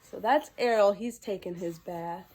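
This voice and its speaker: A woman hosting a children's storytime, reading and talking to young viewers in an expressive, sweet manner. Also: mid-range voice, unhurried, breathy edge. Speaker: children's storytime host dressed as a princess character. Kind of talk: animated children's picture-book reading and direct address to young viewers.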